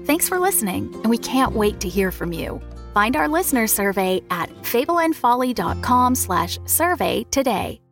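A woman's voice: on the high side, big pitch swings, normal speed, vocal fry, faint music behind. A woman announcer giving a scripted promotional read for a podcast network.

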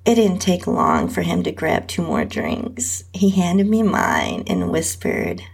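Female storyteller for intimate erotic fiction, low-pitched and very creaky, with big swings in intonation. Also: huge pitch swings, slow pace. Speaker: host and narrator. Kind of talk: intimate first-person erotic story narration.

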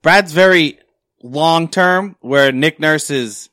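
An opinionated man arguing about basketball in casual talk, his voice a little high for a man. He cuts in and curses, recorded dry and close. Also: slow pace, pushed and bright, no echo. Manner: opinionated, interrupts, swears. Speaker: sports podcast co-host. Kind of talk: casual sports debate.

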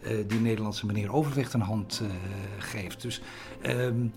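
A man's voice: lowish voice, fairly even intonation, normal speed, a little mellow. A man giving a hesitant interview, searching for words and often repeating himself. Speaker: interviewee recounting theatre history.

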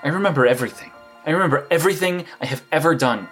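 A man's voice: a little high, very fast, fairly bright tone, soft background music, close to an everyday voice. An actor playing a rattled young male hero who stammers anxiously as he records a case log in an audio drama. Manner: stammering, anxious self-talk. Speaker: superhero keeping a case log.

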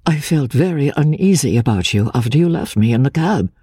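A woman voicing an earnest, cautious gentleman, coaxing and reassuring in a measured way, in a classic audiobook. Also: very deep voice, animated, husky, no room sound, clean studio sound, full character voice. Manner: measured, deliberate, persuasive. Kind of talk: dramatic audiobook reading of classic literature.

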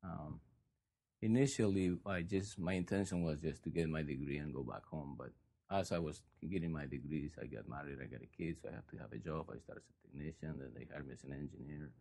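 Peruvian-born man, forties, deep gravelly voice, easygoing and wry. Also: fairly quick. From Peru. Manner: relaxed, joking. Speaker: guest. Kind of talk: casual chat.

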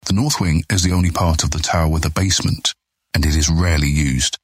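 A man playing a solemn, formal and courteous deputy of a fantasy thieves' guild in an audiobook, with a husky voice. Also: a bass voice, fairly even intonation, no echo, studio quality, soft background music. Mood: deferential. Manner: formal, measured, courteous understatement. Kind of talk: fantasy audiobook character acting.

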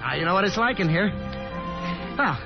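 An actor playing a confident, breezy young gentleman caller in 1950s radio drama style, with a hearty, slangy, encouraging way of talking. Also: sits high, big pitch swings, moderate pace. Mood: upbeat, charming. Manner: slangy, hearty, easygoing, with hesitant chuckles. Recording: muffled 1950s radio broadcast with music behind.

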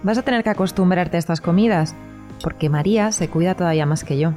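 A woman's voice: fairly low pitch, quick pace, mellow, faint music behind, close to an everyday voice. An actress playing a bossy, teasing, health-minded young woman in conversational audio comedy. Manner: conversational, correcting, assertive. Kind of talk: Spanish-language audio fiction comedy acting.

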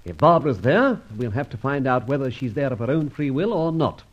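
A muffled old radio serial recording carries a suave British gentleman adventurer. His voice is husky and animated, and he speaks in polished, wordy sentences with ironic courtesy. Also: medium pitch, fairly quick. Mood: breezy, decisive. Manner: well-spoken, wordy, ironically polite.

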